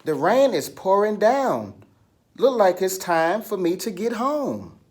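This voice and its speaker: A man's voice: high voice, sing-song, takes it slowly, darkish tone, pitched above the performer's own voice, exaggerated voice. A man voicing a small, cheerful, sun-loving creature for children, exclaiming with theatrical wonder. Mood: wonderstruck.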